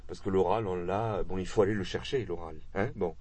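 A man interviewing a speaker, talking in a measured way with brief agreements. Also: sits low, darkish tone, slightly rough, no room sound. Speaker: interviewer, researcher. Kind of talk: casual conversation.

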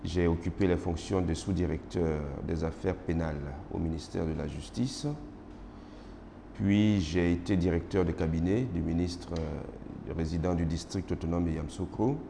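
A middle-aged man from Côte d'Ivoire with an Ivorian French accent, whose first language is Baoulé, answering formal interview questions in a measured way with many hesitations. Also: sits low, fairly even intonation, creaky. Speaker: interviewee, magistrate. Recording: noisy roomy recording with long reverb.

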